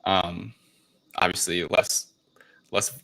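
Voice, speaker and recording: Low gravelly man chatting casually. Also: flat delivery, takes it slowly, sharp tone. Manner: rambling, informal, swears casually. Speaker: podcast co-host. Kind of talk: casual movie review chat with plot recap.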